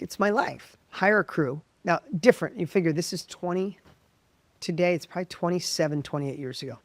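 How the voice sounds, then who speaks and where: A middle-aged man from the United States telling his story as an interview guest, with a high voice, animated and full of quick restarts. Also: creaky, no room sound. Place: professional studio.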